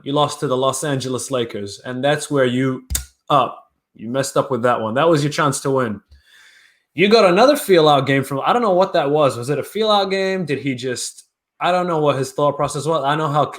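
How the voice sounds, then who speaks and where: A young man co-hosting a sports podcast, talking basketball in loud, playful, animated banter. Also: medium pitch. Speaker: podcast co-host.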